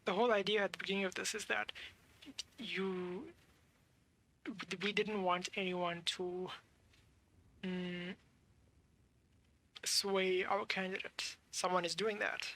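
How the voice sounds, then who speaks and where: A woman's voice: mid-range voice, little pitch movement, slow pace, long pauses, a bit bright. A woman streaming a game, giving casual off-the-cuff commentary and hesitantly thinking aloud. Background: game music.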